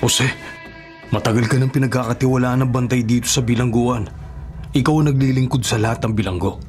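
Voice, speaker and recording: Man playing an imprisoned baker, medium-pitched, respectful. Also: steady tone, brisk, a little mellow, soft background music, lightly acted. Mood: respectful, troubled. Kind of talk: Filipino radio drama acting.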